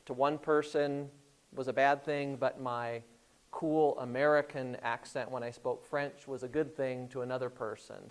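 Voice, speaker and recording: A middle-aged man with an Indiana accent, a guest speaker telling anecdotes to a class in a relaxed way, with frequent hesitations and restarts. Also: mid-range voice. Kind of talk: classroom storytelling talk.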